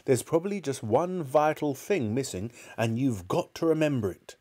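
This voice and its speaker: A man voicing an excitable, bossy farmer father who exclaims urgently in a storybook reading. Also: mid-range voice, moderate pace, pitched above the performer's own voice, close to an everyday voice. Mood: bossy, impatient.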